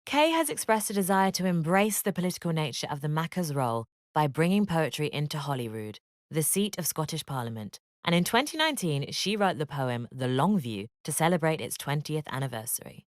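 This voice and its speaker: A woman giving scripted educational narration in a measured, expressive read-aloud manner. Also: low-pitched, dry recording, clean studio sound.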